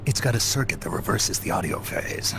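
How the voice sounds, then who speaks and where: A man playing a gruff, terse, guarded veteran soldier in a video game, with a gravelly, low-spoken delivery. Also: expressive, a little fast, fairly bright tone, slight background noise, exaggerated voice. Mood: tense, focused. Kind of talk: dramatic video game acting.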